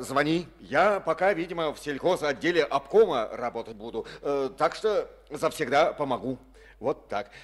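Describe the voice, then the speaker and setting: An actor playing a boastful, rambling middle-aged district boss with folksy speech, in an old radio play. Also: male voice, mid-range voice, lightly acted. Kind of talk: Soviet radio play acting. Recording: old studio radio recording.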